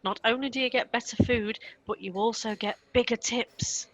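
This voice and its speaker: Woman playing a sharp-tongued bossy chambermaid. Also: on the high side, fairly even intonation, normal speed, dry recording, lo-fi sound. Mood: wry, bossy. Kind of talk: comic radio play acting.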